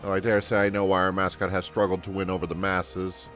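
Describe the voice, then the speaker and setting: An earnest, courteous searcher in a fantasy game, acted out by a man, speaking in formal, old-fashioned phrases. The voice sits lowish to middling with game music running underneath. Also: completely flat delivery, quick pace, a bit bright. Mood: earnest, polite.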